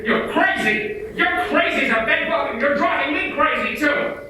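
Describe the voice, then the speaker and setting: A man's voice: extremely high pitch, normal speed, background noise. A man playing a frantic, confused family man. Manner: frantic, pleading, excitable. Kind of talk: amateur radio play acting. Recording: echoey room, far off, music behind.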